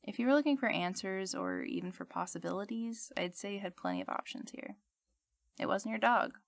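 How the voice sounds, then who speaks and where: A woman playing the musing host of an eerie fiction radio show, speaking in a measured, contemplative way. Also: mid-range voice, vocal fry, fairly bright tone, no room sound, clean studio sound. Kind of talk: scripted reflective fiction narration.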